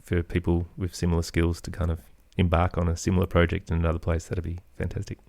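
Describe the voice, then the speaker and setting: A man's voice: deep voice, completely flat delivery, fast talker, gravelly, darkish tone, no echo. A middle-aged man giving thoughtful, earnest answers as an interview guest in a conversational way. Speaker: interview guest, podcaster and musician.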